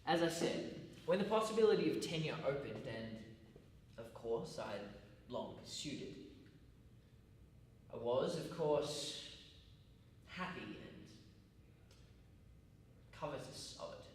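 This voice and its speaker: A man's voice: mid-range voice, expressive. Man acting earnest pedantic teacher, echoey hall. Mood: measured, self-justifying. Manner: deliberate, pedantic, halting phrasing. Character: earnest, self-justifying male college teacher with formal speech. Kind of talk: stage monologue. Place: large echoey room at a college. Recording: echoey hall, distant mic, faint noise.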